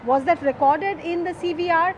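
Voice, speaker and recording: A woman's voice: very high voice, moderate pace. A woman field reporter with an Indian accent, explaining emphatically in a live report from outdoors. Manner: emphatic, explanatory, rhetorical questions. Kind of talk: live on-location news reporting. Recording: clearly noisy outdoor urban field audio.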